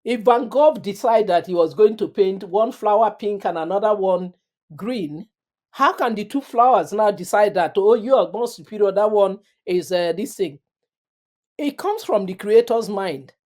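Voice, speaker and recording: Earnest middle-aged African woman, mellow voice. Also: mid-range voice, animated, normal speed. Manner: earnest, emphatic, repeats phrases for stress. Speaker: interview guest. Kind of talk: reflective personal storytelling.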